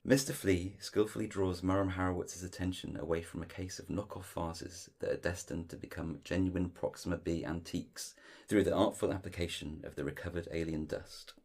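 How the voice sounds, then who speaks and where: A man's voice: low voice, fairly even intonation, normal speed, hardly any pauses, darkish tone. A man delivering scripted dramatic narration for an audio drama.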